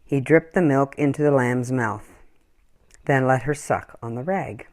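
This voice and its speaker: A woman reading a children's story aloud in an expressive, acted-out storytelling style. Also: very deep voice, a little slow. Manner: animated, acts out dialogue and animal sounds. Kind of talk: expressive scripted storybook narration.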